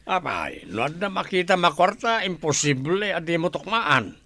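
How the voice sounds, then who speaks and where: Henchman guard for radio drama, played by a man: animated, bright voice, plain-spoken, uneasy, loyal, dry sound. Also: fairly high pitch, moderate pace, no room sound, exaggerated voice. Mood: dismissive, scolding. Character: deferential male henchman guard, uneasy but loyal to boss. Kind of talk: Ilocano audio drama acting.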